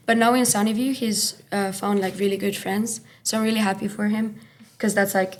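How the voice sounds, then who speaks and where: A woman's voice: medium pitch, steady tone, brisk. A woman from Finland chatting as a podcast guest, rambling with lots of fillers and restarts. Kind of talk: casual chat.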